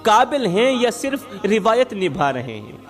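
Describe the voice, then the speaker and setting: High-pitched, dramatic male preacher over music. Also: sing-song, unhurried. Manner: dramatic, rhetorical, repeats phrases for emphasis. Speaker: Islamic preacher and storyteller. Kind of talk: emotive Urdu religious sermon with moral storytelling. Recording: background music under the voice.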